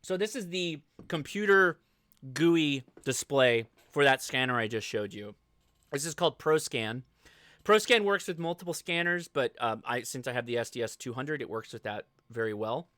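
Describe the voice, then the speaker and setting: An American man hosting a live show, giving a casual, informal software walkthrough and thinking aloud as he goes. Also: mid-range voice, animated, moderate pace, no echo. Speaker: host of a live ham radio show.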